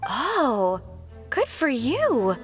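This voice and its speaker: A woman's voice: high-pitched, huge pitch swings, very slow, over music, full character voice. An actress playing an aloof, sardonic, easily irritated young woman in an anime game, speaking in a languid, dismissive way. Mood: cool, aloof.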